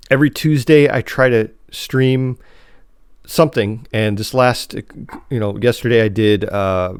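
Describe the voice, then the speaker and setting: Male podcast host, mid-pitched, informal and chatty, dry studio-close sound. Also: no echo. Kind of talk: casual podcast chat.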